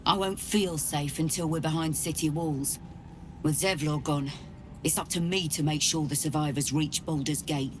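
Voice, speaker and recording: An actress plays a weary woman leading survivors in a fantasy game. Her voice is low, her talk blunt and earthy, and there is clear ambient noise behind it. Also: fairly even intonation, moderate pace, lightly acted. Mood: earnest, relieved. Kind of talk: video game character dialogue.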